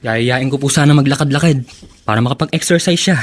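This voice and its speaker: A man's voice: mid-range voice, quick pace, close to an everyday voice. A man with a Filipino accent playing a dutiful, polite university-age grandson in a radio drama, speaking earnestly. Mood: eager, polite. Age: young adult. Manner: respectful, earnest.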